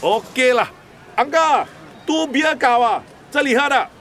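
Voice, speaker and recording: A man's voice: very high-pitched, expressive, a little slow, pushed and bright, faint music behind, some chatter behind, heavily acted. A man playing a chatty, easygoing Singaporean drinking buddy in a scripted podcast dialogue, talking colloquially with emphatic particles at sentence ends. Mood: cheerful. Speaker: voice actor reading the Singlish part. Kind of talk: scripted casual dialogue in Singlish.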